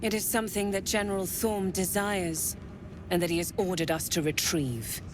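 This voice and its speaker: An actress playing a seductive, menacing female commander of a dark cult in a video game, sultry and commanding in manner. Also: mid-range voice, normal speed, noisy background, close to an everyday voice.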